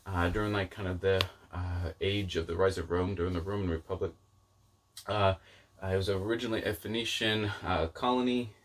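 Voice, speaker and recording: A man talking casually to camera as a vlogger, in a rambling way that often doubles back mid-thought. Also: on the low side. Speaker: book vlogger and channel host.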